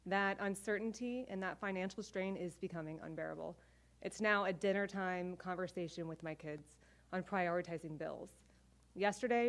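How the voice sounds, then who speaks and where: Earnest middle-aged woman giving testimony. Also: medium pitch. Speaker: air traffic controller speaking out. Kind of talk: press conference testimony.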